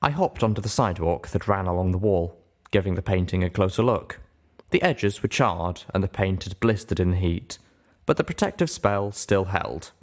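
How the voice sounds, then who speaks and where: Low-voiced man narrating a story. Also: animated, moderate pace, a little mellow. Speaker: audiobook narrator.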